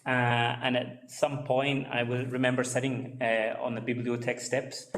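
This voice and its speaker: A man's voice: mid-range voice, little pitch movement, moderate pace, echoey room. A man presenting his book at a launch event, speaking with many hesitations and fillers. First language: English. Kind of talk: presentation talk.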